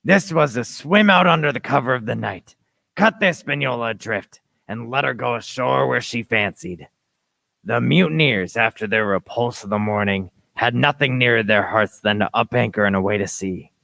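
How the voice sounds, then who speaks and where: A man's voice: medium pitch, moderate pace, creaky, pushed and bright, no echo. A man narrating an adventure radio play in scripted, first-person storytelling.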